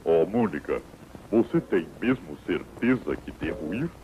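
An actor playing a gentle, hesitant, sentimental elephant in an animated TV commercial. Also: male voice, deep voice, measured pace, muffled recording, noisy background. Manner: meek, hesitant, plaintive. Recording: old TV commercial soundtrack under music.

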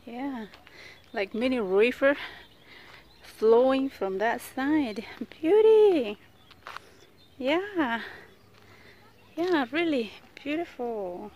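A woman's voice: high-pitched, animated, very slow, long pauses, darkish tone, a little hiss or hum. A woman narrating a casual walking tour outdoors, chatty, with many fillers and frequent laughs, tired from the heat. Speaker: vlogger. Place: outdoors at a beach park with trees.

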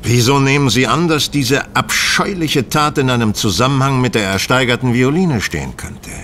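A man acting in a German audio drama as a composed detective who asks probing questions in a polite, measured way. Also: mid-range voice, a bit bright, a little hiss or hum, lightly acted. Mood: calm, inquisitive.

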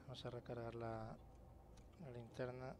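A man's voice: medium pitch, level tone, measured pace. A man with an accent from Spain giving casual gameplay commentary, thinking aloud and swearing as he goes. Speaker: gamer narrating a let's play. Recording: clear game noise behind voice. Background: video game ambience.

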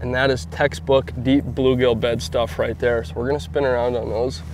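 A man's voice: medium pitch, moderate pace, background noise. A man in his thirties, an outdoors host, explaining fishing technique on a boat in an easygoing, explanatory way. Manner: easygoing, explanatory, laughs often when excited. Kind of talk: casual fishing instruction.

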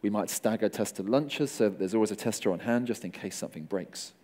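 A middle-aged man speaks from a conference stage into a microphone, his voice low and mellow. He explains things in a relaxed, conversational way and drops dry jokes for the audience. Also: fast. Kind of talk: conference talk.

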